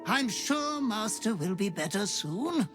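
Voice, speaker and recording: A man's voice: high-pitched, big pitch swings, takes it slowly, music in the background, full character voice. An actor playing an obsequious demon butler who gleefully fawns over his murderous master in a video game. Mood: gleeful fawning. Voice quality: fawning, gleefully ghoulish.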